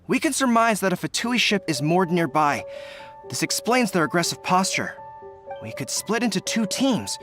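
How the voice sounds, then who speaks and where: An actor playing an earnest, dutiful young army general in a video game, speaking in a formal military briefing manner. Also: male voice, high-pitched, a bit bright. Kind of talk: English video game voice acting. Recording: music behind the voice.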